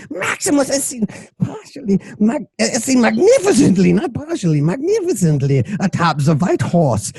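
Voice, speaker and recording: A man's voice: extremely high pitch, creaky, husky, no echo. A man reading stage directions as narrator of an amateur radio play, in a theatrical, playful and dramatic way. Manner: dramatic, playful, acts out shouts and crowd.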